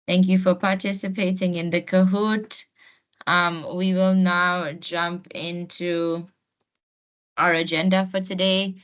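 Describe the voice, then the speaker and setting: A woman's voice: lowish voice, fairly bright tone, smooth, highs cut off. A woman leading an online class as host and trainer, speaking informally and encouraging participants to join in. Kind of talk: informal webinar presenting to participants. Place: online video call.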